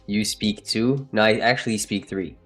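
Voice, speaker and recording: A man's voice: fairly low pitch, moderate pace, fairly bright tone, faint music behind. A man with a French accent hosting a live trading stream, chatting casually and joking with viewers. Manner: joking, laughs often, trails off and restarts. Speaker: livestream trading host.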